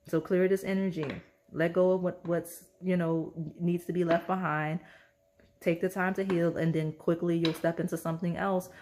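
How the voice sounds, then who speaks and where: A woman talks through a pick-a-card tarot reading in a loose, chatty way and keeps checking in with "okay?" Her voice sits a little low. It is hoarse and has a slight rasp, as if she is losing it. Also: a little mellow, a little hiss or hum. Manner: chatty, repeats "okay?" often. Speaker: tarot reader.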